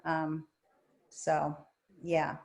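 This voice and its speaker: A woman therapist and author answering questions at a virtual book talk, calm and soothing, with lively emphasis. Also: low-pitched, drawn-out words, vocal fry.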